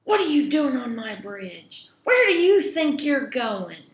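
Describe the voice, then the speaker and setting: A woman voicing a gruff, hungry, bullying bearded troll in a children's story, loud and demanding. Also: sits high, big pitch swings, slow pace, voice pushed up, exaggerated voice. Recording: echoey room.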